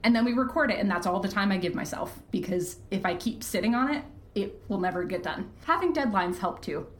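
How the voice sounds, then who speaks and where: A woman chatting casually as a podcast guest, candid and self-deprecating, quick to laugh. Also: medium pitch, lively intonation, a little hiss or hum. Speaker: podcast guest, fiction podcast creator and writer. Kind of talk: casual interview chat. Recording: roomy, echoey sound.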